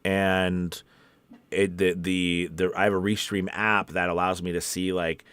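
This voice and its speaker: A man's voice: deep voice, level tone, a little slow, fairly bright tone, clean tone. An American man hosting a casual live stream as a coach, chatting to viewers in an emphatic way and repeating his key points. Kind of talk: casual livestream chat reading out viewer comments.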